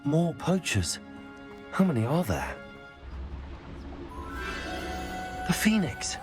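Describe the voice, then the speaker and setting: A man's voice: fairly high pitch, very animated, a little slow, music in the background. A man playing a brave, polite teenage wizard hero in a video game, with an earnest manner. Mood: wary. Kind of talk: video game character dialogue.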